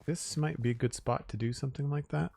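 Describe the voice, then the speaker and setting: A man streaming a building game, talking casually in a raspy, slightly hoarse voice as he thinks aloud in an unhurried, rambling way. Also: medium pitch, darkish tone, dry recording. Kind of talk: casual live-stream chat while building.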